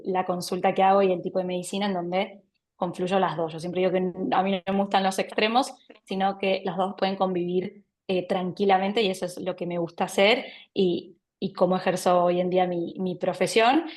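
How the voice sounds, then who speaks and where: A woman from Argentina with a Buenos Aires accent, a doctor talking over a video call, warm and hesitant, often repeating words as she thinks. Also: medium pitch. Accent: Buenos Aires Spanish. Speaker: internal medicine doctor, guest speaker. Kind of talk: online talk presentation.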